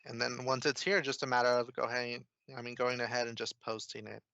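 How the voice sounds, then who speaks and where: A man presenting a casual software demo, explaining each step informally as he clicks through. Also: medium pitch, flat delivery, brisk, creaky, dry recording, muffled recording. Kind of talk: casual screen-share software walkthrough.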